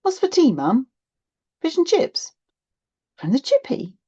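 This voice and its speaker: A woman's voice: fairly low pitch, expressive, moderate pace, mellow, lo-fi sound. A woman voicing an aggrieved thirteen-year-old schoolgirl in a children's audiobook, sulky and frustrated, with a complaining manner. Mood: hopeful, cheeky. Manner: complaining, indignant. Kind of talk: acted children's audiobook dialogue.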